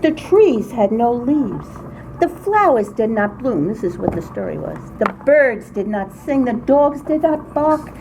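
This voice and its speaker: Older woman, fairly high pitch, expressive storyteller, humorous essay read aloud, noticeable background noise. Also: unhurried. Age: older, grandmother. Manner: expressive, dramatic storytelling with emphatic phrasing. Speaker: writer reading her own personal story. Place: indoors, writing group meeting room. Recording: very noisy small-group room recording. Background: clear background noise and room ambience.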